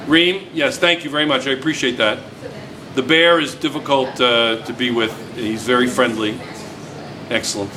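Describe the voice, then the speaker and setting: A man's voice: mid-range voice, fairly even intonation, moderate pace. A man teaching a class, chatty and teasing, repeating his students' answers back to them. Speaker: physics teacher. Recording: noisy classroom recording.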